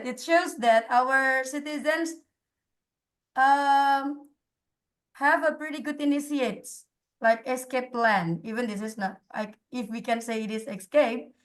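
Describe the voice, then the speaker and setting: A woman with an Indonesian accent giving her opinion in an online discussion, haltingly, searching for words and repeating phrases. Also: high-pitched. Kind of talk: informal spoken opinion in an online group. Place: online call.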